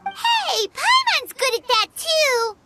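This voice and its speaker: A woman voicing a tiny, chirpy fairy-like companion in a game, with a squeaky, childlike voice. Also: big pitch swings, takes it slowly, heavy background noise, exaggerated voice.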